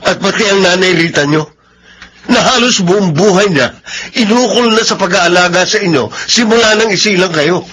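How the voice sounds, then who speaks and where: A man's voice: high-pitched, normal speed, a bit bright, a little hiss or hum. An actor playing an earnest, wounded middle-aged father in a Filipino radio drama, weighty and melodramatic, with a Filipino accent. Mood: hurt, reproachful.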